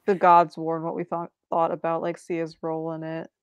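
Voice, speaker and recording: A woman with a lowish voice moderates a casual chat, feeling her way into her questions. The video-call audio is dry and slightly muffled, lo-fi. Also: completely flat delivery, brisk, smooth, dry recording. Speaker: discussion moderator. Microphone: video call, dry sound.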